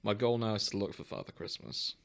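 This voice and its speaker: A man's voice: lowish voice, brisk, vocal fry, fairly bright tone. A man hosting a podcast, bantering casually and jokily with his co-hosts in an enthusiastic way. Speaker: podcast host.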